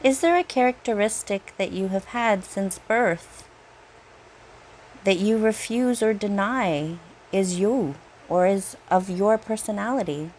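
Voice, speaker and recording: A woman speaks as a meditation guide, very slowly and gently. She leaves long pauses between unhurried phrases. A light, steady hum like air conditioning sits behind her mid-pitched voice. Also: low-quality recording. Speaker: meditation guide, reiki teacher. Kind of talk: guided meditation, slow soothing narration.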